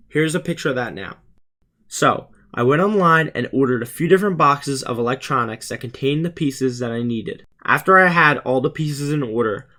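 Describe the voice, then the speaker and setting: A man's voice: mid-range voice, pushed and bright. A teenage male student narrating a scripted explainer for his video channel, earnest and plainly presented. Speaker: student YouTuber presenting a school project.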